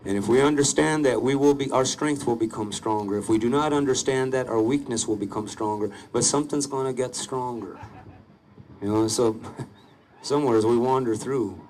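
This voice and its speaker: A middle-aged man, a poet, performing spoken-word verse on an outdoor stage into a handheld mic, slow and deliberate. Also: medium pitch, darkish tone, slight background noise, rough recording. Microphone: handheld mic held close. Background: live band with electric guitar.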